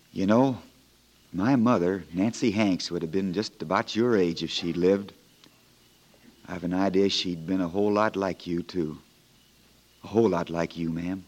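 An actor in a 1940s radio drama playing a young frontier lawyer, folksy, plain-spoken and wry but firm. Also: male voice, low-pitched, a little slow, pauses often, darkish tone. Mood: gentle, humble. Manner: folksy, plain-spoken, joking, homespun turns of phrase.

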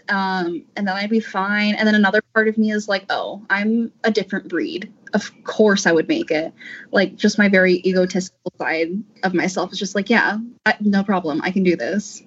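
A woman's voice: medium pitch, husky. A woman chatting casually on a panel, often acting out imagined lines as she talks. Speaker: voice actor on a panel. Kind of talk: casual chat. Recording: dull lo-fi audio.

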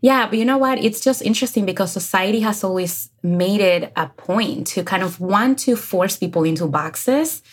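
An energetic woman in her thirties from the Dominican Republic, speaking with a Dominican Spanish accent as a guest on an interview podcast, who laughs often. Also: mid-range voice, normal speed, hardly any pauses, husky. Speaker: guest, career coach. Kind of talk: casual interview chat.

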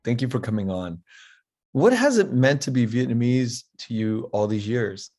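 A man hosting a podcast, giving a measured scripted intro and asking interview questions. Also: medium pitch, expressive, darkish tone, faint music behind. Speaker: podcast host.

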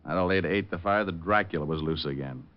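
A man's voice: low voice, fast, lightly acted. An actor playing a suave, wisecracking male private detective in a 1940s radio drama, wry and flippant. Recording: dull old radio broadcast.

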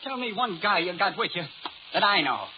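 Gangster sidekick, American: agitated, slangy, wildly swooping pitch, dull hissy 1930s radio sound. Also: male voice, expressive, fairly quick. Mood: nervous, defiant. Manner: agitated, slangy tough-guy talk. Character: excitable, frightened small-time gangster sidekick. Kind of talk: 1930s radio horror acting.

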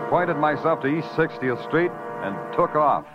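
An American man playing a wry hard-boiled New York police detective in a 1950s radio crime drama, deadpan and terse. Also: fairly high pitch, measured pace. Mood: wry, world-weary. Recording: muffled old radio recording with music.

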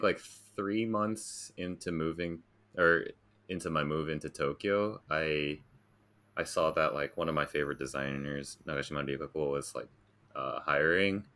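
An American man with an extremely low voice that still has a bright, forward edge. He talks casually, like a guest telling his own story, informal and a little self-deprecating. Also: a little slow. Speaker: interview guest, graphic designer.

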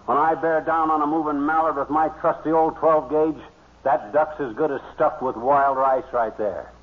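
An American actor playing a blustery, bragging amateur hunter and husband in folksy, slangy 1940s radio comedy. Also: male voice, fairly high pitch, steady tone, normal speed, lightly acted. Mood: boastful. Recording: tinny vintage radio recording, faint noise.

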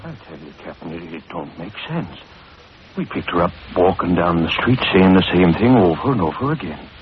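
A man's voice: low voice, very animated, normal speed, slight background noise. An actor playing a bewildered, folksy policeman in an old-time radio drama, talking colloquially and emphatically. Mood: baffled. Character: folksy, baffled policeman reporting to his captain. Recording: muffled vintage radio with voices behind.

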